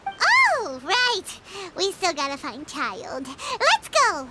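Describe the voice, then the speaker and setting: A woman voicing a tiny, excitable female fairy companion in a game who talks about herself in the third person. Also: takes it slowly, a little hiss or hum, exaggerated voice. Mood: chatty. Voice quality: high childlike squeaky voice. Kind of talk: video game cutscene dialogue.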